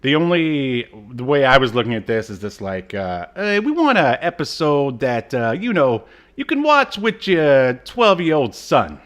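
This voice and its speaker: Male co-host, casual and animated, reads notes conversationally and drops dry jokes. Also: medium pitch, measured pace. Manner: joking, wry asides. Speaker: podcast co-host. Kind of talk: casual chat, reading episode notes.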